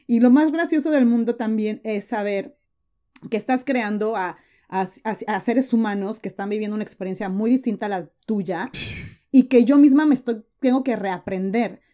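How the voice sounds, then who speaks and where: Chatty Mexican woman with a mellow voice. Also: a little high. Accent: Mexican Spanish. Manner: chatty, frequent fillers and restarts. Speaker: guest. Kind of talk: casual interview chat.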